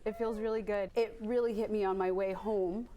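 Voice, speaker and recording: A woman's voice: medium pitch, fairly even intonation, moderate pace, mellow, highs cut off. Chatty woman free diver telling stories. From Maine, USA. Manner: chatty, rambling with many fillers. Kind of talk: casual interview storytelling.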